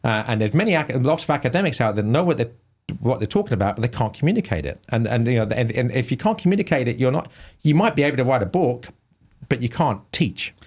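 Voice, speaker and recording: A man with a softened Cockney accent, a writer talking as a guest in a casual conversation, emphatic and prone to repeating words as he starts a thought. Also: mid-range voice, animated, quick pace. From England.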